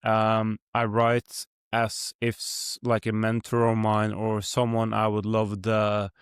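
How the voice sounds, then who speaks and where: A man's voice: on the low side, completely flat delivery, takes it slowly, clear, resonant tone, dry recording, clean studio sound. A young man from Norway with a Norwegian accent, hosting a podcast and musing in a rambling, reflective way with many fillers. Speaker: podcast host. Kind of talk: casual podcast conversation.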